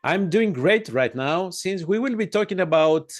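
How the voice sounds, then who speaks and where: A man's voice: high-pitched, lively intonation, moderate pace. A man from Greece with a Greek accent, asking questions as an interviewer in a casual chat.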